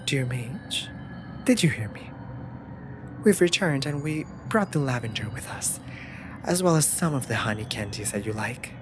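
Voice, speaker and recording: A woman's voice: very deep voice, animated, unhurried, over music. A woman voicing a sassy, exasperated but devoted raven familiar in a fantasy audio drama, scolding theatrically in formal phrasing. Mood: fond, concerned. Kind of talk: scripted roleplay audio drama addressed to listener.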